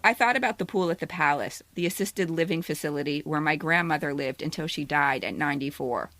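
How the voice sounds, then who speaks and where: A woman's voice: low-pitched, a little fast, a bit bright, dry recording. A woman in her fifties, a podcast co-host, speaking in a candid, direct way as a personal storyteller. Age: 50s. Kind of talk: scripted personal essay narration, then casual banter.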